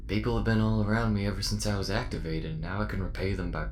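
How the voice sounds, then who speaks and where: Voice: deep voice, flat delivery, fast, a little hiss or hum, close to an everyday voice. An actor playing a friendly, earnest, helpful android in a sci-fi audio roleplay, with a folksy, polite manner.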